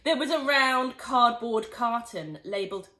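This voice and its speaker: A woman teacher reading a children's book aloud in an expressive, animated way. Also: a little high, unhurried. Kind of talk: storybook read-aloud.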